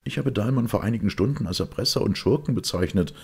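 Man as a dismayed, groaning sidekick, raised pitch. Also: lively intonation, quick pace, vocal fry, darkish tone, breathy edge, heavily acted. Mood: horrified. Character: horrified, shaken male companion of the detective.

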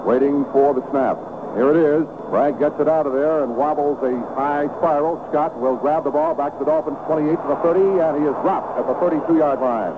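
A man's voice: on the high side, a little fast. A man calling live football play-by-play on the radio in clipped, vivid phrases, siding with the home team. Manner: clipped, vivid present-tense calls, partisan for the home team. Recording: tinny, very noisy vintage radio broadcast.

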